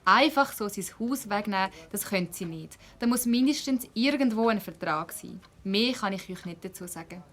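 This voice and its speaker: A woman's voice: medium pitch, lively intonation, moderate pace, fairly bright tone. A woman playing a village mayor in a children's audio drama, official and slightly condescending, with a Swiss accent. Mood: dismissive, official.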